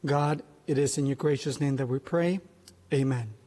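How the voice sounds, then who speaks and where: A man on the city council delivering an invocation in a slow, solemn, measured way. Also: mid-range voice, fairly even intonation, background noise. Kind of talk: spoken prayer. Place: council chamber. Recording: long reverb in a council chamber.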